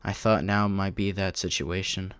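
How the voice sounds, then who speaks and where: A man's voice: sits low, level tone, normal speed, fairly bright tone, smooth, dry recording. A man playing a bitter, despairing man in his late thirties who narrates his diary in an anguished, self-loathing audio drama style. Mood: anguished confession.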